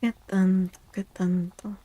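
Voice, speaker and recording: A woman speaking in a soft ASMR style as a streamer doing a tarot reading, hushed and often slipping into whispers. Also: medium pitch, level tone, measured pace, no echo.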